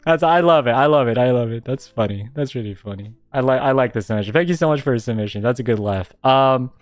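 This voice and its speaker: A young man streaming a casual radio-style chat, reading out listener submissions, laughing often and reacting in an animated way. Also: quick pace, dry recording, clean studio sound. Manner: animated, laughs often, jumps high when excited.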